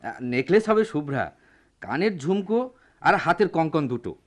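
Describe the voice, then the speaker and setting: A middle-aged father in a radio play, courteous and burdened. He has a Bangladeshi accent and a slightly high voice. His intonation moves widely as he explains himself politely. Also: sing-song, normal speed. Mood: earnest, burdened. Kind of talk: radio drama acting.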